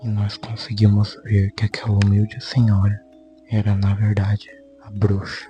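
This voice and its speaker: A man's voice: lowish voice, completely flat delivery, moderate pace, loud music behind the voice. A man with a Brazilian accent informally narrating a story recap as a video host, in a conversational way with repetitive phrasing. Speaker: YouTube host.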